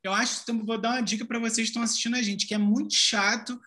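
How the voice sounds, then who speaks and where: A man's voice: very high voice, little pitch movement, rapid-fire, sharp tone. A Brazilian man co-hosting a talk show, giving his opinions in casual chat. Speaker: co-host.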